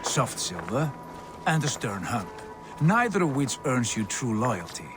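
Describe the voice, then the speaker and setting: An actor playing a confident, wry Viking leader in a video game, calm and authoritative in manner. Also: male voice, medium pitch, measured pace, over music. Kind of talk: video game cutscene acting.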